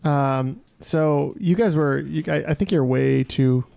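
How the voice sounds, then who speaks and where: A man co-hosting a quiz podcast, explaining his team's answers in a measured way with plenty of fillers. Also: fairly high pitch, creaky, dark tone. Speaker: podcast co-host and contestant. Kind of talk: casual podcast banter.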